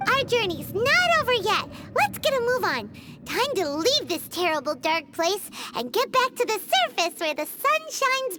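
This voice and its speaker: A woman voicing a tiny, chatty, excitable childlike fairy companion in a video game, squeaky and chirpy. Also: heavy background noise, full character voice. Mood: determined. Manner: chirpy, exclamatory, talks in third person. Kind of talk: animated video game character dialogue.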